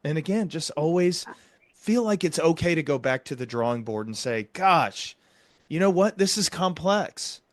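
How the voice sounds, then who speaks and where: A man's voice: a little high, animated, moderate pace, dry recording. A man hosting a podcast, explaining things conversationally in an earnest, reflective way. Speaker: podcast host; psychiatric doctor.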